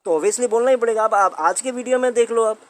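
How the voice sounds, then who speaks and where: A man's voice: very high-pitched, fast, a bit bright. A man with an Indian accent giving informal explainer commentary, conversational, often repeating his points and putting rhetorical questions to the listener. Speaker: host of a commentary channel on a company's updates.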